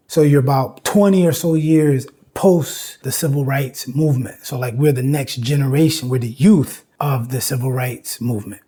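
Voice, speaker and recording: A man's voice: mid-range voice, mellow, breathy edge. An American man in his fifties giving informal educational commentary, emphatic and repeating phrases to drive points home. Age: 50s. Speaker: video essay host.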